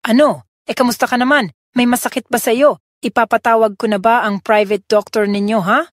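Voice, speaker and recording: A woman's voice: a little high, fairly even intonation, a little fast, no room sound, clean studio sound, lightly acted. A woman voicing an older female housekeeper who fusses anxiously over the girl in her care. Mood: worried. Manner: anxious, fretful questioning. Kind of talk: Tagalog audio drama acting.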